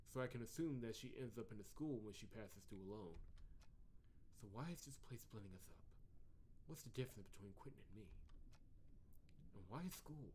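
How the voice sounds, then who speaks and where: A man's voice: medium pitch, a little mellow, a little hiss or hum, lightly acted. Man reading as earnest puzzled game protagonist. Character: puzzled, reassuring young visual novel protagonist. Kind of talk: reading visual novel dialogue and inner monologue.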